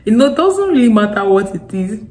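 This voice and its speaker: A young woman from Nigeria's Delta with a strong Deltan accent, giving frank, animated advice to camera in a casual vlog. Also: mid-range voice, a little fast. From Nigeria, Delta State. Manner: frank, animated, laughing asides. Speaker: vlogger. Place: home in Accra.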